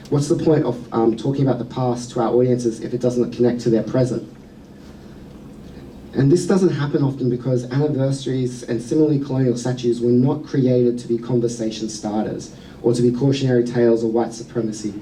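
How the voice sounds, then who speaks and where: Australian man, public speaker, dark mellow tone, earnest and measured, distant mic. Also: medium pitch, slight background noise. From New South Wales, Australia. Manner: earnest, measured, with frequent "um" fillers. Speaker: speaker at a museum sector event. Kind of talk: prepared public talk. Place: event venue.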